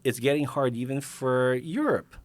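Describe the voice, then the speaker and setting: A man's voice: mid-range voice, normal speed. A man from East Asia who hosts a casual podcast conversation and asks reflective questions, often ending with a "right?". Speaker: podcast host.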